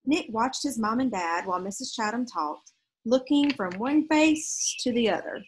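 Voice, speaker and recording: A woman's voice: on the high side, lively intonation, normal speed, slight background noise. A woman in her thirties reading a children's storybook aloud expressively outdoors. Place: outdoors on a leafy backyard porch.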